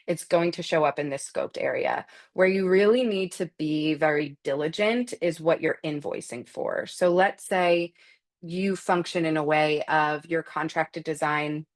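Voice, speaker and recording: A woman with a low-pitched voice answers customer questions during a software webinar. She talks off the cuff, explaining things step by step and correcting her own phrasing along the way. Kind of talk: unscripted webinar walkthrough and Q&A.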